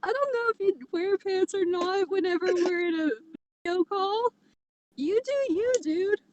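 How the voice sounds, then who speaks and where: A woman with a notably high voice co-hosts a comedy podcast. She chats casually, with a teasing, giggly way of talking. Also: unhurried, darkish tone, highs cut off. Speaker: podcast co-host.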